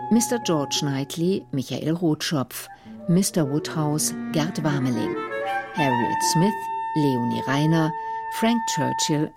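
A woman announcer reading the cast and production credits of a radio play in a formal scripted style. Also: low voice. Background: prominent music.

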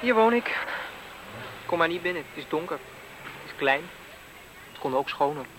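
Young designer, youngest son male actor: lightish high voice, soft, hesitant, a touch affected, 1970s radio drama, faint noise. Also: expressive, moderate pace, close to an everyday voice. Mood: reluctant, gloomy. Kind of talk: 1970s Dutch radio play acting.

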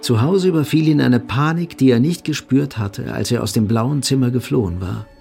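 A man narrates a radio drama in a literary, scripted style. His mid-pitched voice has a noticeable gravelly creak. Faint music runs underneath. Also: normal speed, dark tone.

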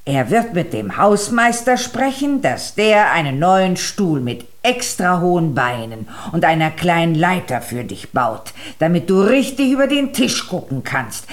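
A woman's voice: fairly low pitch, sing-song, measured pace, few pauses. An actress playing a doting, fussing old giant grandmother in a children's radio play, hesitant and worried. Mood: concerned. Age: older.

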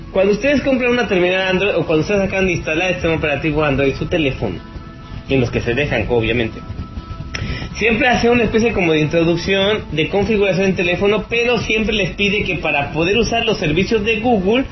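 Radio host, male, Mexican accent, notably high voice, teasing and slangy, on a dull lo-fi stream with music clearly behind. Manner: colloquial, mocking, teasing listeners, chuckling. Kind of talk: informal tech-advice monologue.

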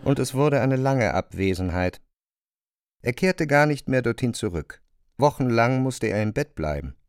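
An actor speaking a calm, measured narrating part in a radio play. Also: male voice, medium pitch, clean tone, no echo, faint music behind, natural voice. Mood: grave. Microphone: close, dry studio mic.

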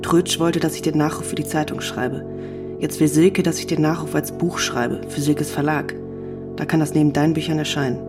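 An actress playing a brooding, coolly evasive woman journalist in a literary radio drama, musing in a flat, detached manner. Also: low-pitched, brisk, music in the background, lightly acted. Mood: intense, musing.

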